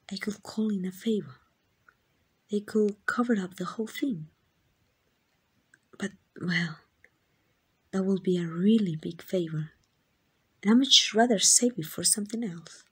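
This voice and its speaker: A woman with a Mexican accent playing a tender, devoted queen in a romantic ASMR roleplay, speaking in gentle, intimate, breathy whispers. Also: mid-range voice, a little slow, long pauses. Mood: soothing, reassuring. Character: tender, devoted queen in secret love with a commoner.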